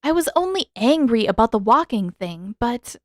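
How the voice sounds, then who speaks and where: Woman voicing an earnest, high-pitched teenage girl. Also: sing-song, normal speed, no echo, clean studio sound. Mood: hesitant, subdued. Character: earnest, conflicted teenage girl with heavy duties. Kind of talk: fan fiction audiobook dialogue.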